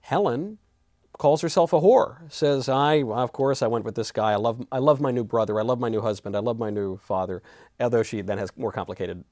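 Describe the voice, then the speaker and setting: A man with an average-pitched voice hosts a discussion in a conversational style. He is inquisitive, sometimes halting and restarting as he builds a point. The recording is dry, with almost no room sound. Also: darkish tone, no room sound. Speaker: interview host.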